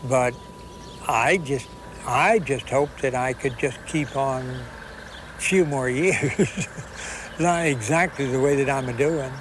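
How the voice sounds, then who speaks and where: A man's voice: mid-range voice, slow pace, a little hiss or hum, poor audio. A man in his seventies with a rural Kansas accent, a farmer reminiscing in a folksy, plain-spoken way. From Kansas, United States. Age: 70s. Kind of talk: casual interview reminiscence.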